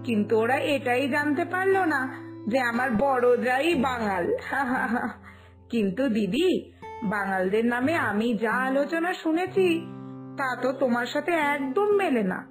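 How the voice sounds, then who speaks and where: Woman acting a high-pitched chatty young bride. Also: expressive, unhurried, over music. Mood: gossipy, amused. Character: eager, chatty young new daughter-in-law, naive and curious. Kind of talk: Bengali cartoon village family tale acting.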